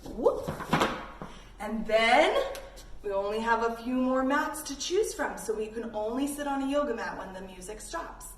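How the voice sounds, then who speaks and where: A high-pitched woman teaches young children through playful movement games, encouraging them as she goes. She is recorded at a distance in a roomy space. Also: expressive, measured pace. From Canada. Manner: encouraging, playful, with sound effects. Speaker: children's yoga instructor and host. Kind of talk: instructional play-along for young children. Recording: roomy, distant mic with faint noise.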